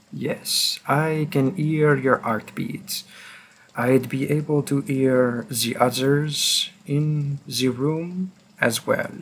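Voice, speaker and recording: A man voicing a centuries-old vampire who hunts his own kind, grim and deliberate, in a scripted roleplay monologue addressed to the listener. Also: medium pitch. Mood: wary, threatening. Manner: slow, deliberate, pausing, darkly confiding.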